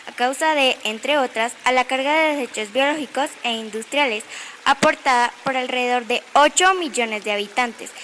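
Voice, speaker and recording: A woman's voice: high-pitched, bright, forceful tone, a little hiss or hum. A woman reading a scripted informational narration.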